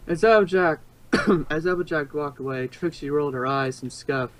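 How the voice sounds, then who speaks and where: A man's voice: fairly high pitch, moderate pace. A man reading fan fiction aloud as narrator, informal, sometimes stumbling and rereading a phrase. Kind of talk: casual storybook narration.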